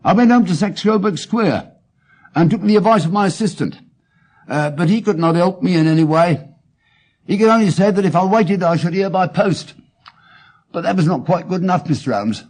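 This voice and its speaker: Man voicing an aggrieved English tradesman, high-pitched, swooping. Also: expressive, moderate pace, a little mellow. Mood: earnest, plaintive. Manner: plaintive, emphatic, deferential. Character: aggrieved, simple male London tradesman, earnest and indignant. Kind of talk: dramatic audiobook character reading.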